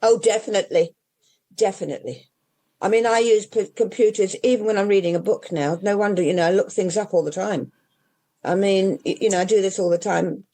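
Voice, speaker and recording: An older woman from England talks casually about her bookshop, her mellow, warm voice wandering through half-finished phrases and asides. She restarts often and drifts from one point to the next. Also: mid-range voice, brisk. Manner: rambling, with many restarts and trailing asides. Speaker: independent bookshop owner. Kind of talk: casual interview chat.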